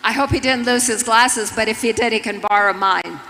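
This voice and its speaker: A woman from Istanbul moderates a live conference session. Her voice is fairly high and bright. It rings through a large echoey hall. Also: a little fast, a little hiss or hum. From Istanbul, Turkey.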